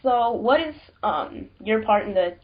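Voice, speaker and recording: A woman's voice: on the high side, animated, a little slow, highs cut off, slight background noise. A woman asking questions casually as an interviewer, in an informal conversational style.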